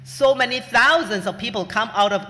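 A man interpreting a sermon consecutively, delivering it in short, separate, measured phrases. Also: very high voice, pushed and bright, slight background noise. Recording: reverberant hall sound.

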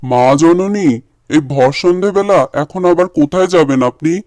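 A man's voice: sits high, moderate pace, darkish tone, close to an everyday voice. A man voicing a wryly inquisitive village fellow in a cartoon, with a folksy, knowing manner. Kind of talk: cartoon voice acting.

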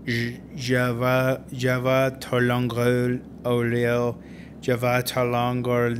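An actor playing a stubborn, quirky, defensive language learner in a radio drama. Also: male voice, mid-range voice, completely flat delivery, takes it slowly, a little hiss or hum, lightly acted. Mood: struggling, earnest. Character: stubborn, quirky man clumsily learning French. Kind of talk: naturalistic radio drama dialogue.

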